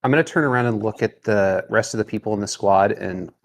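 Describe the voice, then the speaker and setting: A man's voice: lowish voice, fairly quick. A man playing a tabletop roleplaying game, moving between in-character lines and casual table talk.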